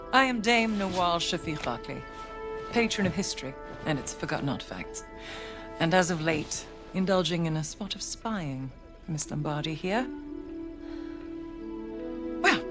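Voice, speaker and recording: An actress playing a grand, worldly dame who collects antiquities and dabbles in spying in a video game, speaking in an arch, genteel, droll way. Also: female voice, low-pitched, lively intonation, measured pace, long pauses, music in the background. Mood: arch, welcoming. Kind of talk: video game cutscene acting.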